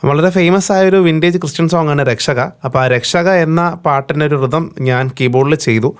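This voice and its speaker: A man's voice: on the high side, a little fast, clear, resonant tone, no room sound, studio quality. A man with a Malayali accent explaining and demonstrating, as the presenter of a keyboard tutorial. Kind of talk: explanatory product demo talk.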